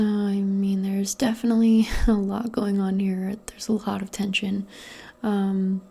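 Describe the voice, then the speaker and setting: A woman playing a casual, gently awkward modern witch in a soft-spoken ASMR roleplay, speaking hesitantly in a breathy, often whispered voice. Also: medium pitch, exaggerated voice. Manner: hesitant, murmuring, conversational. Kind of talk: ASMR personal-attention roleplay.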